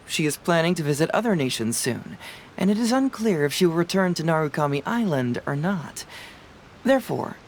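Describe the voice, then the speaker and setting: A man's voice: high-pitched, slight background noise. An actor playing a clever young male detective in a video game, playful, casual and self-assured. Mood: wry, assured.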